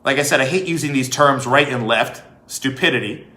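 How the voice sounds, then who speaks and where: A man's voice: mid-range voice, a little fast, pushed and bright. A man delivering an opinionated political monologue as a commentator, emphatic, repeating phrases and asking rhetorical questions. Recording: echoey room.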